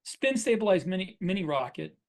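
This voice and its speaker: A man with a fairly high voice talks casually as a podcast host. He explains his craft in an informal, conversational style. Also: sing-song, a little fast. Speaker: podcast host and fiction writer. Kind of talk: casual shop talk.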